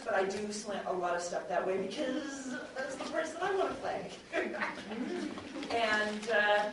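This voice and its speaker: A woman moderating a convention panel and talking informally about making audio drama. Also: medium pitch. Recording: very echoey room, distant mic, clear noise.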